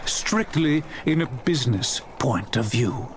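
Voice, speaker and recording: A man narrating a dramatised classic story in an expressive, scripted audiobook style. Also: mid-range voice, unhurried, a little hiss or hum.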